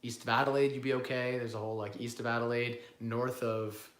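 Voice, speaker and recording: A man with a cold, hoarse, hosting a casual live-stream Q&A on investing and rambling as he answers viewers. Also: medium pitch, little pitch movement, fairly quick, a bit bright, echoey room. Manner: rambling, self-correcting, repeats words. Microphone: phone built-in mic, no lapel.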